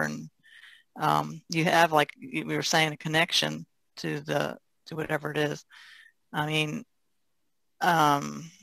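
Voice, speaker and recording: A woman's voice: low-pitched, vocal fry, a bit bright, no echo. A woman who works as an artist, talking casually as a podcast guest in a reflective, tentative way. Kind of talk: casual discussion.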